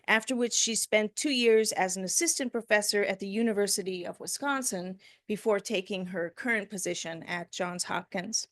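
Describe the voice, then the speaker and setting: A woman of middle pitch reads a formal introduction from notes, measured and steady. She stands in a lecture room, and her voice sounds dry and close. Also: no room sound. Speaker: faculty member introducing the speaker.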